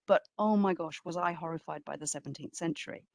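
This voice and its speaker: Woman, lower voice, close dry sound. Exhibition curator answering questions informally, animated and self-deprecating with emphatic repetition. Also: quick pace, creaky, no room sound. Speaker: exhibition curator and guest speaker.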